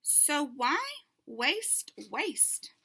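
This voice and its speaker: A woman's voice: high voice, very animated, very slow, bright, forceful tone, voice pushed up, exaggerated voice. A woman voicing a patient male schoolteacher in a children's storybook, explaining things in an emphatic, instructive way.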